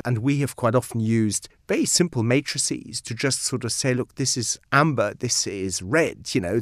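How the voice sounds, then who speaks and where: Belgian guy, dutch native, lawyer guest, measured and emphatic, mid pitch. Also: no room sound, studio quality. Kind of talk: articulate interview answers. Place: studio.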